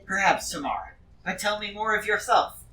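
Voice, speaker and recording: A man playing a polite, flustered adventurer who speaks formally and haltingly in a tabletop game. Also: sits high, expressive, pushed and bright, voice pushed up. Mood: halting, evasive. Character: polite, flustered, formal-spoken male adventurer recounting his travels. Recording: distant mic with faint noise.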